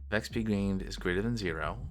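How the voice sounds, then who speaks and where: A man giving casual think-aloud commentary as a game developer on a coding stream, halting and muttering to himself as he works. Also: lowish voice, faint music behind.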